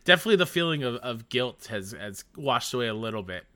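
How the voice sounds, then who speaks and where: A man's voice: medium pitch, expressive, fast, pushed and bright. A man hosting a podcast who asks friendly, slightly hesitant questions with fillers. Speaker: podcast host. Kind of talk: casual interview.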